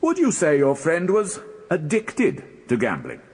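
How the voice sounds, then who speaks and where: Husky British prosecutor, booming hall. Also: male voice, huge pitch swings, measured pace, heavily acted. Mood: insistent, formal. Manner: formal, insistent, leading questions. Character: formidable, pressing male Crown prosecutor, forceful and relentless. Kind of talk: British radio courtroom drama acting. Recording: long echo of a large hall.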